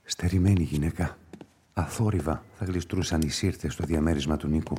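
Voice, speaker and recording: An actor playing a wary, restrained police inspector in a radio play, hesitant and formal. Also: male voice, rumbling low, fairly even intonation, warm tone, slight background noise. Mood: musing. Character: wary police inspector, uneasy and privately judging.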